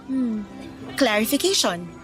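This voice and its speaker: A woman acting in a Filipino radio drama as a cheerful, gentle young woman letting down her suitors. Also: fairly high pitch, sing-song, slow pace, over music. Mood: gentle, serious.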